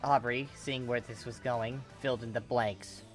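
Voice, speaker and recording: An amateur reader narrating a fan fiction story in a dramatic, animated way. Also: mid-range voice, moderate pace, faint music behind.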